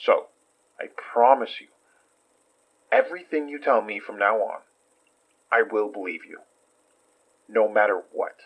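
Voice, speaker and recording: Persistent investigator in horror podcast, coaxing and reassuring, slightly dull muffled audio. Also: male voice, on the low side, lively intonation, measured pace, pauses often. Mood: earnest, reassuring. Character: earnest, persistent investigator gently questioning a witness. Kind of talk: audio horror drama acting.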